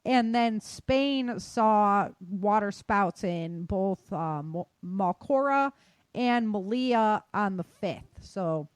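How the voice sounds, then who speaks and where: A woman's voice: mid-range voice, expressive, takes it slowly, smooth, dry recording. A woman hosting a podcast, giving a casual news roundup in an informal, chatty, colloquial way. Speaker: podcast host. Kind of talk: casual news roundup of world weather and disasters.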